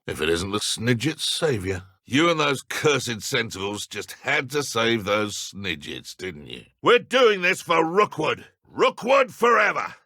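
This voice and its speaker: An actor voicing a menacing, taunting dark wizard poacher in a video game, with a British accent and a gravelly, theatrical, sneering delivery. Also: male voice, mid-range voice, dry recording, studio quality, full character voice. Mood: gloating taunts. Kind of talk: video game combat voice lines.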